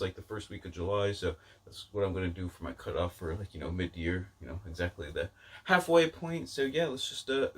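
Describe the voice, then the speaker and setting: A man's voice: medium pitch, recorded from a distance. A man giving a casual, rambling book-review chat to viewers, informal and self-deprecating in his delivery. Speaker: book vlogger.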